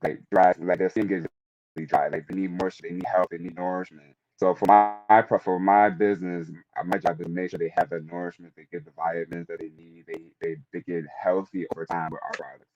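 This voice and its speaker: A man giving an informal introduction as a panelist, halting and repeating words as he explains, on lo-fi audio. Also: deep voice, little pitch movement. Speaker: panelist, product manager.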